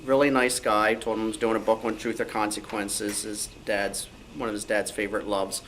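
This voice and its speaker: A man's voice: fairly low pitch, little pitch movement, moderate pace, fairly bright tone. A man speaking as an author and researcher, telling anecdotes in a panel talk at a club meeting. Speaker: author and researcher of old-time radio, panel guest. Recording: clearly noisy live room.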